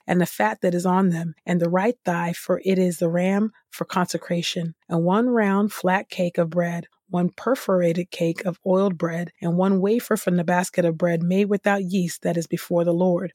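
A woman reading scripture aloud from a text in a measured, formal way as a podcast host. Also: fairly low pitch, a little mellow, no room sound, studio quality.

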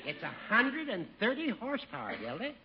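An actor playing a sly, boastful older judge, teasing and theatrical in a 1950s radio comedy. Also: male voice, sits high, wildly swooping pitch, brisk. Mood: gleeful teasing. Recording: muffled, faintly hissy old radio recording.

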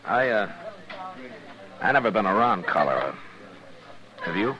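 Very deep-voiced laconic Old West marshal. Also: male voice, very animated, a little slow, pushed and bright, heavily acted. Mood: concerned. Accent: American. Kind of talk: 1950s radio western acting. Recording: dull old radio recording, murmuring background crowd.

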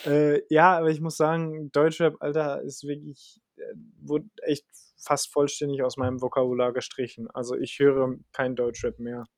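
A man's voice: a little high, flat delivery, moderate pace, no room sound, clean studio sound. A young man chatting casually as a podcast co-host, colloquial, slangy and opinionated. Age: young adult. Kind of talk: casual podcast chat about music.

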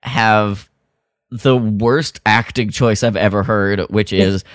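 A man's voice: fairly low pitch, steady tone, slow pace, dry recording, clean studio sound. A man co-hosting a podcast in casual review chat, exasperated and critical, slipping into mock quotes as he goes. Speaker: podcast co-host.

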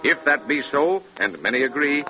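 Sharp, forceful 1930s radio mystery narrator, male. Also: on the high side, expressive, faint music behind. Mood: grave. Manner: grave, theatrical, deliberate delivery. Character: solemn, ominous male narrator of true crime mysteries. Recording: dull, lo-fi 1930s radio recording.

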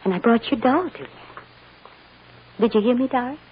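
A woman's voice: a little high, animated, moderate pace, lightly acted. A woman playing a loving, anguished mother who tenderly soothes her child, in old radio melodrama style. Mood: tender, soothing. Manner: emotional, tender, dramatic. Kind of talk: 1940s-50s radio melodrama acting. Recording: muffled, noisy old radio recording.

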